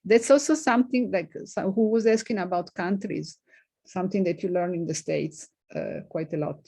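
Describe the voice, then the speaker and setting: Middle-aged woman, accented english, low mellow voice, lots of ums and little laughs. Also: vocal fry. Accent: non-native English accent. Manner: halting, many fillers, frequent light laughs. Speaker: invited physicist answering questions. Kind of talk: informal seminar Q&A.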